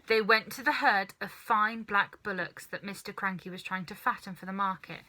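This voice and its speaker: A woman reading a children's story aloud as an expressive narrator. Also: mid-range voice, normal speed, low-quality recording. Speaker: storybook reader.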